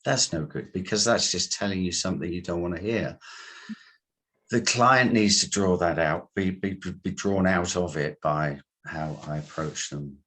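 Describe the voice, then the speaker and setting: Man, very low voice, husky, deliberate halting phrasing, coach explaining in an interview. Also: sharp tone. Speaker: guest, coach and hypnotherapist.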